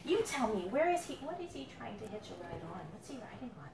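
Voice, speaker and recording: A woman reading her own picture book aloud to a young child, playfully dramatic, drawing out words and pausing to ask questions. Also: fairly high pitch, very animated, vocal fry. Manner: dramatic build-ups with drawn-out words. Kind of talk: animated storytelling read aloud to a young child. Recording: roomy, noisy, distant handheld recording.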